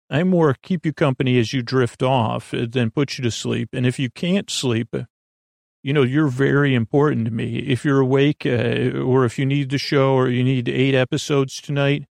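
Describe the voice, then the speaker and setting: A man with a creaky voice hosting a bedtime podcast in a meandering, halting monologue that keeps interrupting and repeating itself. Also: mid-range voice, fairly even intonation, a little mellow, no room sound, studio quality. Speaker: podcast host.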